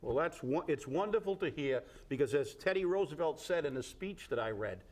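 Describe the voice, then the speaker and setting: Halting talk-show host. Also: male voice, fairly high pitch, expressive, a little mellow. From Fall River, Massachusetts. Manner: halting, many fillers and restarts.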